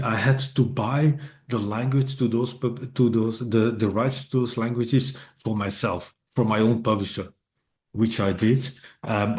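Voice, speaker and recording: A man's voice: medium pitch, darkish tone. A middle-aged man whose first language is Dutch gives a casual presentation to an online meetup in English, hesitant and full of fillers, with a wry self-deprecating streak. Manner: hesitant, many fillers and restarts. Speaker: author and speaker.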